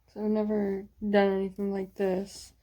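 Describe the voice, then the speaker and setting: A woman's voice: mid-range voice, monotone, normal speed, mellow. A woman talking in a casual video diary, hesitant and rambling with many fillers, sometimes dropping to near-whispers. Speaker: vlogger.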